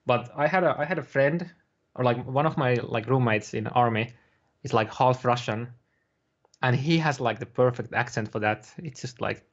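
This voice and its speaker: A young man talks casually on a live stream, stumbling over restarts and fillers. His voice sits at a middling pitch. The audio is slightly dull and lo-fi, with keyboard clicks in the background. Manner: rambling, halting with many fillers and restarts. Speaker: guest streamer.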